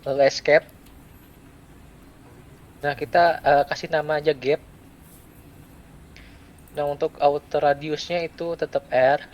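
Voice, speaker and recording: A young adult man gives a step-by-step software tutorial, speaking in short, halting phrases with pauses. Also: fairly high pitch, steady tone, highs cut off. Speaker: student presenter giving a software tutorial. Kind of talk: step-by-step screen tutorial, unscripted. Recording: clear background noise.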